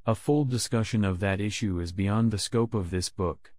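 A man reading scripted language test sentences aloud in a measured, deliberate way. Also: on the low side, a little mellow, dry recording. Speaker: test prompt reader.

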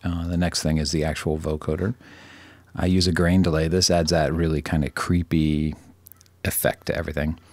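Guy with a deep creaky voice walking thru software, thinking out loud, dry close mic. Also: little pitch movement, normal speed, dry recording. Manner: informal, thinking aloud, self-deprecating. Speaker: audio software tutorial presenter. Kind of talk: casual screen-recorded tutorial.

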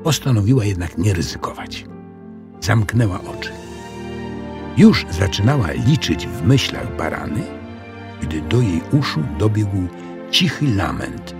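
A man narrating a children's audiobook in an expressive storytelling style. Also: on the low side, measured pace, gravelly, over music.

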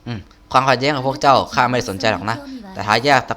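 A man voicing a stern, imperious female shogun from a game, reading formal lines haltingly. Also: mid-range voice, fairly bright tone, lightly acted. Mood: stern, challenging. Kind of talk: reading game dialogue aloud.

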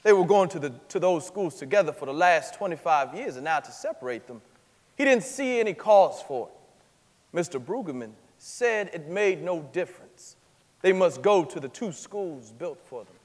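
A man's voice: high voice, expressive, moderate pace. Man acting firm, respectful witness, echoing hall. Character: respectful but firm witness in an 1890s trial. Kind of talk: staged dramatic reading of court testimony. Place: large theater stage, indoors. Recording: hall reverb from a theater stage.

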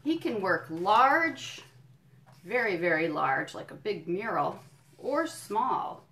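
A woman doing a children's storytime, reading a picture book in deliberate phrases and explaining things simply to young listeners. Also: mid-range voice, big pitch swings, fairly bright tone. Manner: addresses young listeners directly with questions and encouragement. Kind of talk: children's storytime read-aloud with friendly asides.

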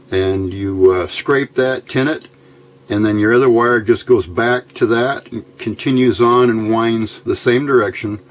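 Slow-talking man giving a hands-on tutorial. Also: fairly low pitch. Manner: plain-spoken, unhurried, halting explanation. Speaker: electronics hobbyist demonstrating a build. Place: indoor workbench.

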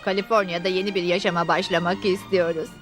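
A woman's voice: lowish voice, level tone, fast, natural voice. Stubborn pioneer wife, voiced by a woman, over music. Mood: earnest. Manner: insistent, decisive. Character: stubborn, bossy pioneer wife, thrifty and determined. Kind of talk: cartoon dub acting. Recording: music clearly behind.

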